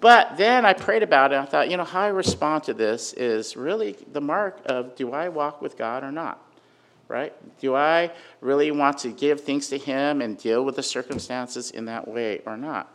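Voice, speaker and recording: A man giving an informal sermon with a personal story, talking conversationally and loudly, with many fillers and frequent "right?" check-ins. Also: mid-range voice, normal speed. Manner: conversational, filler-heavy, asks "right?" often; says he has a really loud voice. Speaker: preacher.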